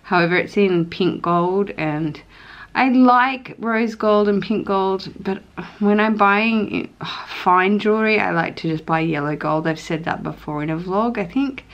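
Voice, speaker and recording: A woman's voice: mid-range voice, a little slow, highs cut off. Australian female vlogger, thirties, mid-range voice, casual and gushing chat. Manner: chatty, gushing. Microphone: camera at arm's length or on table.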